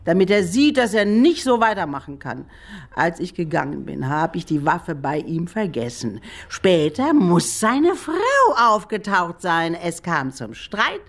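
Dry, jealous, expressive loner. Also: female voice, fairly low pitch, unhurried, exaggerated voice. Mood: defensive, cool. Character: dry, jealous woman, unhappily in love. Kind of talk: German radio crime drama acting.

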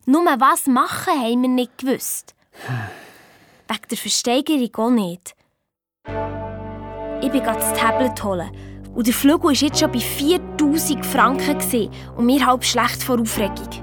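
A woman playing an anxious, excitable young girl detective with a Swiss German accent, telling her adventure in a children's radio play. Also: high-pitched, moderate pace, natural voice. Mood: anxious, excited. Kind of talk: first-person children's radio play narration. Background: music.

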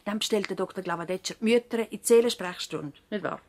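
A woman's voice: mid-range voice, fast, lightly acted. A woman with a Swiss accent playing an efficient, bustling doctor's assistant in a radio drama, matter-of-fact and urging. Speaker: actor. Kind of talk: naturalistic radio drama acting.

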